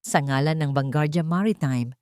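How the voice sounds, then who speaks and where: A woman voicing an earnest male company chief in an audio drama, solemn, deliberate and heartfelt. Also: sits low, a little mellow, no room sound, studio quality, natural voice. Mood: solemn, apologetic.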